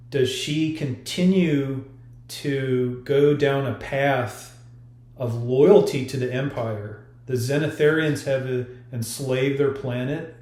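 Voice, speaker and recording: A man speaking as an author in a podcast discussion, explaining haltingly with pauses to choose his words. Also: medium pitch, darkish tone. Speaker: author discussing own story. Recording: roomy, echoey sound.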